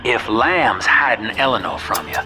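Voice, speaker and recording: A man's voice: medium pitch, expressive, moderate pace, over music, lightly acted. An actor playing a folksy, fatherly, plain-spoken guide who gives advice to the hero of a video game. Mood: confiding. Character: folksy male ally and guide, paternal, plain-spoken, shrewd.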